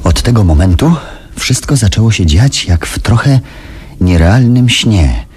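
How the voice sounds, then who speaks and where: An actor playing an uneasy witness who narrates a radio drama in a reflective, hesitant way. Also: male voice, on the low side, expressive, a little slow, darkish tone, breathy edge, exaggerated voice. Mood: uneasy recounting. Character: uneasy male narrator, a thoughtful witness in danger. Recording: noisy old radio drama recording.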